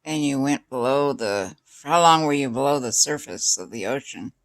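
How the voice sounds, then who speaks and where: A woman's voice: very deep voice, animated, moderate pace. An older woman in casual chat, asking curious questions and giving short reactions. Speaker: interviewer questioning the other speaker.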